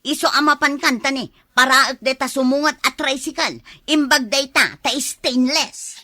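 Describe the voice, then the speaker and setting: A woman's voice: high-pitched, normal speed, pushed and bright, no echo, studio quality. A woman playing a picky, irritable single schoolteacher in a Philippine radio drama, curt and scornful. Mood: haughty, dismissive.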